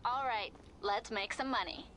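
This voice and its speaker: A woman playing a brisk office assistant. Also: on the high side, background noise, lightly acted. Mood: businesslike. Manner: crisp, matter-of-fact briefing. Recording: game audio with music behind.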